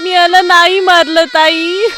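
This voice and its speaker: A woman's voice: very high-pitched, little pitch movement, a little slow, over music, voice pushed up. A woman voicing a distressed young female goat in an animal story, pleading in a plaintive, emotional way. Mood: despairing.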